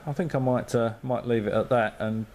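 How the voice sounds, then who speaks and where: A man's voice: medium pitch, fairly even intonation, brisk, a little mellow. A man on amateur radio, chatting casually and thinking aloud with lots of fillers. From Australia.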